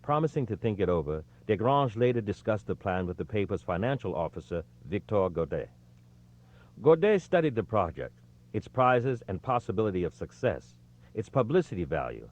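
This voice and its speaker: Low-voiced male audiobook narrator, lively intonation. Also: normal speed, lo-fi sound. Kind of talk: scripted narration, reading a history book aloud.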